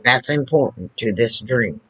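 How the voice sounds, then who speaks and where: A woman telling personal stories in a casual way, with a loud, carrying voice and a slow, halting delivery full of restarts and asides. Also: very deep voice, animated, breathy edge, no room sound. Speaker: storyteller. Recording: dull lo-fi recording.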